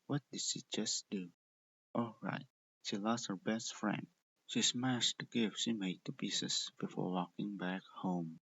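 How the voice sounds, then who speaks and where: A man reading a fan fiction story aloud as an expressive narrator. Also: lowish voice, unhurried, creaky, slightly rough. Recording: dull lo-fi close recording.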